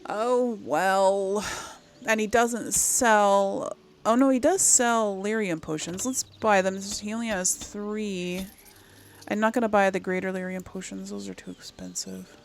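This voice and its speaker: A woman's voice: mid-range voice, steady tone, a little slow, a little hiss or hum. A woman giving casual gameplay commentary, thinking aloud and murmuring to herself as she reads things out. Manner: murmurs to self, reads item text aloud. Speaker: gaming video host.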